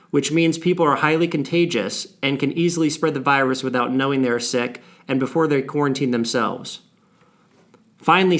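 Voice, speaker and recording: A man with a somewhat higher-than-average voice presents a prepared briefing to clients. He reads in a formal, measured manner, setting out figures and names deliberately. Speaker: financial firm presenter on a client briefing call. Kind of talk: scripted briefing read aloud.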